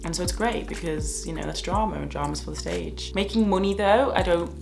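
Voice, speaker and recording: Northern english girl in her 20s, low gravelly voice, blunt, music going under her. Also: fairly quick. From north of England. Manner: blunt and outspoken. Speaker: model and vlogger. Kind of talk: casual Q&A vlog.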